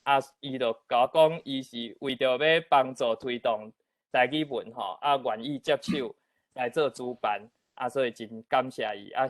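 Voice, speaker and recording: A man's voice: medium pitch, no room sound. A man with a Taiwanese accent hosting an online meeting, giving courteous, informal opening remarks. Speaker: outgoing host of an online literature event.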